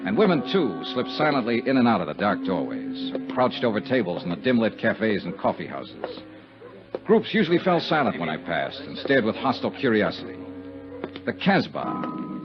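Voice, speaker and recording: An actor playing a tough, wry American investigator in a 1950s radio noir, speaking in a clipped, sardonic way. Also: male voice, on the low side. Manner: clipped, hard-boiled, sardonic. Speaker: lead detective and narrator. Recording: dull vintage 1950s radio recording.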